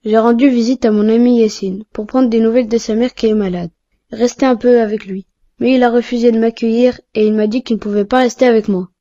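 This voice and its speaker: A woman's voice: fairly high pitch, fairly even intonation, fairly quick, warm tone, natural voice. A woman voicing a sincere, pious young boy in a cartoon, asking questions, on dull lo-fi audio. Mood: earnest.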